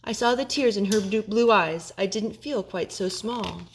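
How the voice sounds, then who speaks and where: A woman hosting storytime for children, reading in an expressive storybook style. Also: medium pitch, moderate pace, slight background noise. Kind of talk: expressive rhyming storybook narration. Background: music.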